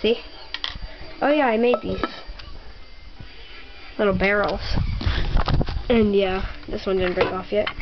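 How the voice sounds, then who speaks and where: A young girl giving a rambling tour of her toy horse barn at home, with many ums and pauses. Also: medium pitch, fairly even intonation, noisy background. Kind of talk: casual home video narration. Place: bedroom at home. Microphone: handheld camera mic. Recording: slightly dull lo-fi home camera audio.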